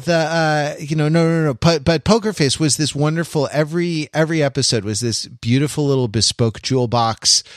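A man's voice: mid-range voice, dry recording, clean studio sound. A man in his forties chatting casually as a podcast host, rambling and often interrupting and repeating himself. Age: 40s. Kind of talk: casual chat.

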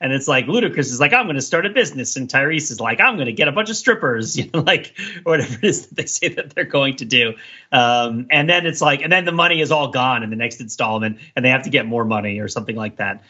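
A man hosting a podcast, chatting about movies in an animated, jokey way. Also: medium pitch, quick pace, dull audio. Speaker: podcast host. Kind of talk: casual movie discussion.

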